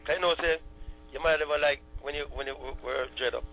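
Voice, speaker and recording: A Jamaican man being interviewed, telling stories quickly in patois. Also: on the high side, fairly even intonation, gravelly, sharp tone, a little hiss or hum, rough recording.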